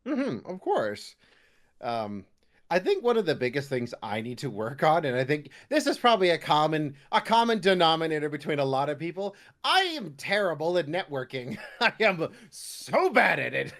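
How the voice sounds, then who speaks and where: A man's voice: fairly high pitch, big pitch swings, normal speed, a bit bright. A man speaking as a guest voice actor in a casual podcast interview, acting out imagined remarks as he explains.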